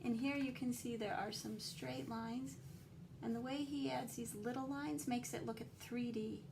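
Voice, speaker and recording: A woman teacher reading a picture book aloud to children, explaining the drawings in a simple, instructive, expressive way with sing-song emphasis on key words. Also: a little high, moderate pace, vocal fry, background noise.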